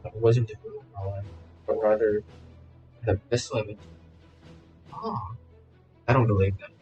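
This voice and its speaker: A man's voice: on the low side, fairly even intonation, measured pace, dull audio. A man giving casual gameplay commentary as a streamer, blurting short reactions and repeating his phrases. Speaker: streamer playing a video game. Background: game music.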